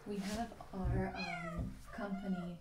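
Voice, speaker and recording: A woman playing a grumpy, blunt HR employee in a soft-spoken ASMR roleplay, deadpan and offhand, with hesitant, grumbling phrasing. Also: medium pitch, slow, reverberant, recorded from a distance, heavy background noise, natural voice. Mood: bored, businesslike. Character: grumpy, blunt female HR employee doing new-hire paperwork.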